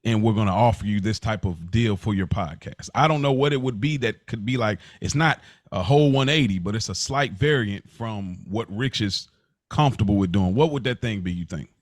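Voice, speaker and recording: A man's voice: lowish voice, lively intonation, brisk, no echo, studio quality. A man hosting a casual podcast chat, inquisitive, talking his way through hypothetical questions for his guests. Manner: inquisitive, poses hypothetical scenarios. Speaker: podcast host.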